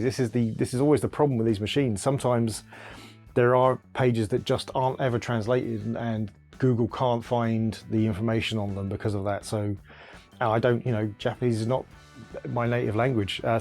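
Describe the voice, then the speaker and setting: Casual video host, male, lowish voice, earnest thinking-aloud delivery, soft music under him. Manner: thinks aloud, earnest, restarts and hedges often. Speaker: retro computer video host. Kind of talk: casual explainer talk to camera.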